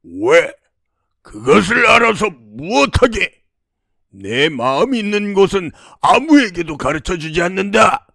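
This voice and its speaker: A fearsome demon king from a fairy tale , acted out by a male storyteller. He speaks slowly in a commanding, lordly way, gruff and imperious. The sound is close and dry. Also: on the high side, sing-song, a bit bright, dry recording, full character voice. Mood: angry, refusing. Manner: commanding, lordly old-fashioned speech. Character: gruff, domineering man-eating demon king.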